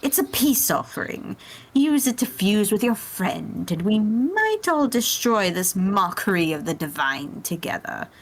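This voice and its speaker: A woman's voice: medium pitch, very animated, measured pace. Woman playing a sly, smug little alien tyrant. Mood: smug, coaxing. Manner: condescending, teasing.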